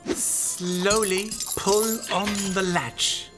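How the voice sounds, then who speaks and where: A wary, anxious thief. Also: female voice, mid-range voice, fairly even intonation, drawn-out words, over music. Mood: defensive, anxious. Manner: anxious, defensive. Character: cautious, wary, put-upon thief of a fantasy party. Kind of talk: comedic fantasy game voice acting.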